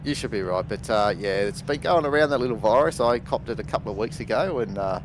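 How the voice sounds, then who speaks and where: Male co-commentator, low pitch, laid-back, audible noise under the voice. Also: fast talker. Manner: relaxed, conversational, many fillers. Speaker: sim racing co-commentator. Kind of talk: live race commentary.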